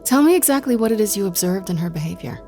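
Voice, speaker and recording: A woman's voice: medium pitch, animated, mellow, soft background music, lightly acted. A woman playing an anxious, earnest grief counselor in an audio drama, speaking in a hesitant, insistent way. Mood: calm, probing. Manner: hesitant, halting, earnest pleading.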